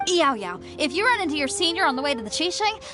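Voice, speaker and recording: A brash, lively rocker girl. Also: high-pitched, fast. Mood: confident, eager. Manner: energetic, confident and punchy. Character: bold young female rock musician, brash and upbeat. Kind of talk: game cutscene voice acting. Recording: game audio with clear background music.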